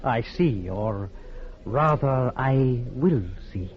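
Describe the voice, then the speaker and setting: An actor playing a polite, inquisitive professor in an old radio drama, speaking in a measured and slightly hesitant way. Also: male voice, medium pitch, expressive, faint music behind. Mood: curious, uneasy. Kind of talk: 1940s-50s radio drama acting. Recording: dull, noisy vintage radio broadcast.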